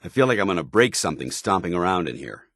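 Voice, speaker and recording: Deep-voiced, stern military paladin. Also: male voice, big pitch swings, fast, fairly bright tone, no echo, studio quality, exaggerated voice. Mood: matter-of-fact, wary. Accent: American. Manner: formal, clipped, earnest military delivery. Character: stern, zealous military paladin, loyal armored soldier companion. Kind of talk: video game companion dialogue.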